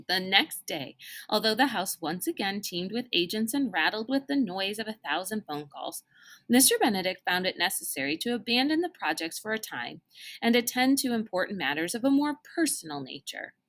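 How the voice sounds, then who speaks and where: A woman reading a children's book aloud as a storyteller, in an expressive narrating style. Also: medium pitch, normal speed.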